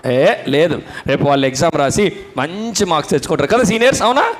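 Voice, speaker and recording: A man's voice: fairly high pitch, animated, quick pace. A man working as a Sunday school puppet show host, talking to children, prompting them questions and repeating the answers.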